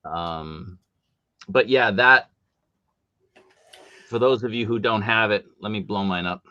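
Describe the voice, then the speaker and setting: A man hosting a live stream from home, chatty and animated, with a big voice and coming down with a cold. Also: lowish voice, slow pace, no room sound, highs cut off. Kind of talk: casual live stream chat.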